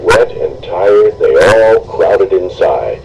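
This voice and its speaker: A man narrating a children's storybook in a theatrical, expressive way. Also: deep voice, slow, gravelly, noisy background. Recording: music behind the voice.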